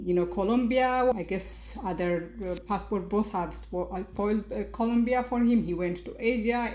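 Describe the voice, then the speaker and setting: A woman delivers a scornful, opinionated commentary, often stopping to restart her sentences. Her voice is soft and mellow. The room around her rings with a noticeable echo. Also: medium pitch. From Ethiopia. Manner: critical and mocking, many fillers and restarts. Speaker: podcast host. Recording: roomy echo.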